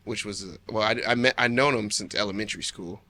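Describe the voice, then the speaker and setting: Young man, bright tone, relaxed slangy podcast guest who laughs easily. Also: on the low side, fast, slight background noise. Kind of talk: casual chat.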